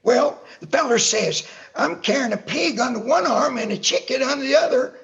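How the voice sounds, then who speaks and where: A man playing a cranky, folksy old-timer who rambles in the style of old-time radio comedy, with a creaky voice. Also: very high-pitched, lively intonation, moderate pace, a bit bright, lo-fi sound, exaggerated voice. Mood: storytelling.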